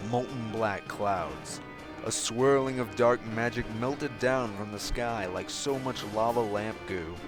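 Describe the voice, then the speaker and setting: Man narrating a story over music. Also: on the low side. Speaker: fan fiction reader. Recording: music behind the voice. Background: background music.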